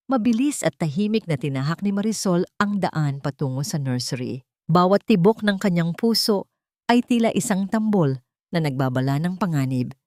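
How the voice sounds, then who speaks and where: A woman's voice: on the low side, dark tone, dry recording, clean studio sound. A woman narrating a scripted dramatic story as an audiobook, with a solemn, emotive delivery.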